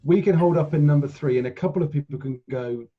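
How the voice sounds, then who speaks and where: A man's voice: a little high, quick pace. A man talking casually as a player planning moves in a tabletop game, recorded on a muffled microphone. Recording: muffled, dull lo-fi mic.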